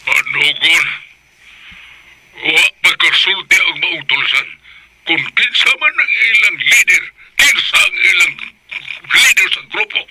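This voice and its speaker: A man's voice: sits high, animated, a little slow, long pauses, noisy background. A man playing an indignant, vengeful older rich man in a Filipino radio drama, with an emphatic delivery and a Cebuano accent.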